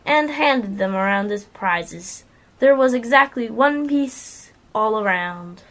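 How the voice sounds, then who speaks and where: A woman's voice: on the high side, lively intonation, slow pace, a bit bright. A female performer narrating a storybook tale in an amateur radio play, reading from a script.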